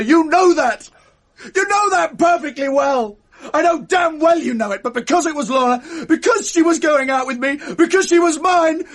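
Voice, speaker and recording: A proud young Englishman, betrayed and drunk, pitched very high and hurling accusations. His voice breaks between shouting and near-tears with wounded pride. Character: impassioned young man, betrayed, bitter, proud. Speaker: jilted friend. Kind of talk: 1980s radio drama acting.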